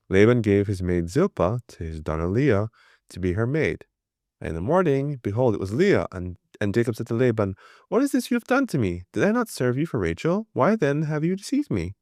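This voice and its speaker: A man reading the Bible aloud in a steady, plain way, with small slips and restarts. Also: low-pitched, no echo, clean studio sound. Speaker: scripture reader.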